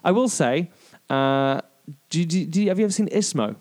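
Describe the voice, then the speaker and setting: A man's voice: on the high side, expressive, normal speed, a little mellow. A young man who grew up speaking Flemish, now talking with a British accent as a chatty podcast host who explains things and swears casually. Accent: British English. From Belgium.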